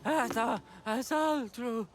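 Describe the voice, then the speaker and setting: A woman's voice: fairly high pitch, normal speed, lightly acted. A woman voicing a chatty, fussy, comic cat sidekick in a dubbed children's cartoon, hesitant and grumbling. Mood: weary, relieved. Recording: loud music behind.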